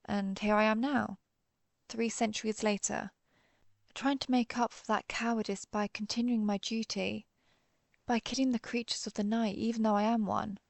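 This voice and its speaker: A woman's voice: fairly high pitch, fairly even intonation, gravelly, no echo. A woman playing a centuries-old vampire hunter turned vampire, guilt-ridden but resolute, in a somber, confessional audio roleplay monologue. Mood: weary, resigned. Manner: confessional, somber, measured storytelling.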